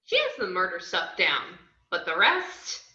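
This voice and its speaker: A woman's voice: fairly low pitch, huge pitch swings, unhurried, pushed and bright, heavily acted. A woman in a table read, playing a brash, cheeky young narrator with a sardonic, slangy way of telling a crime story. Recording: roomy, dull video-call audio.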